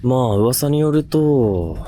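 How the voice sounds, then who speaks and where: A man's voice: mid-range voice, expressive, takes it slowly, dark tone. A man hosting a ghost-spot exploration, explaining the location outdoors and chatting casually with a companion. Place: outdoors on location in a seaside park at night.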